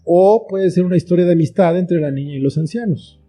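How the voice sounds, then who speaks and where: Mellow, dark-toned man explaining. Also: high-pitched, a little fast, faint music behind. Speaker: guest, children's book author and screenwriter. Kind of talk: conversational interview, explaining with examples.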